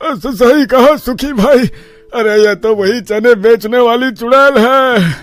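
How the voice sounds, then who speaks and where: A man's voice: extremely high pitch, takes it slowly, a bit bright, faint music behind, exaggerated voice. An actor playing a gullible, fearful village man in a cartoon horror story. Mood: frightened.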